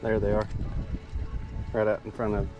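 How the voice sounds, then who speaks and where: A man, the boat captain, calmly coaching people to reel in fish outdoors on a small boat. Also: fairly low pitch, moderate pace, highs cut off. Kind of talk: casual coaching while fishing. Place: outdoors on a small fishing boat on open water. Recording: loud wind and boat noise.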